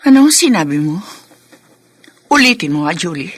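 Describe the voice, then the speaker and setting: A woman's voice: fairly low pitch, very animated, moderate pace, faint music behind. An actress playing a grieving, furious older mother-in-law in a melodramatic, accusatory radio drama style. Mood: disbelieving, outraged. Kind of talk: Tagalog radio drama acting.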